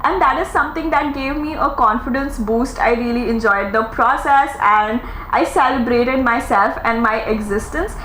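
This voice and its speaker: A woman in her thirties talks openly in a casual podcast monologue, earnest and chatty, her voice sitting a little high. She pops into lively quoted asides now and then. The room rings with echo under a faint hiss. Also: moderate pace, hardly any pauses. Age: 30s. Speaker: podcast host. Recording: echoey room with faint noise.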